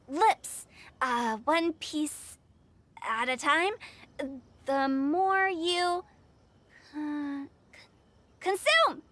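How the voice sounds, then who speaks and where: A woman voicing a confident young female host of a riddle contest in a video game, with an expressive delivery. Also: very high-pitched, full character voice. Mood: hesitant, puzzled. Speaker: event announcer.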